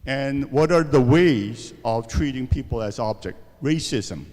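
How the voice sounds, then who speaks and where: A man's voice: mid-range voice, measured pace, darkish tone. Lecturing man in a reverberant hall. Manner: rhetorical questions with frequent "okay?" and "right?". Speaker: seminar speaker. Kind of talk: university lecture to students.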